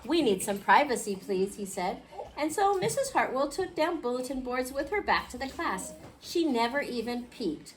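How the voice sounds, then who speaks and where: A woman reading a picture book aloud to a class, expressively, pausing to question her young listeners. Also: high-pitched, sing-song. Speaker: children's storybook reader. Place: indoor school classroom. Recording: clearly noisy classroom recording.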